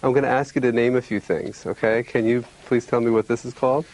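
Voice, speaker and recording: A man speaking as a clinician demonstrating an exam, giving polite, measured, clearly worded instructions and explanations. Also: mid-range voice, steady tone, darkish tone, slight background noise.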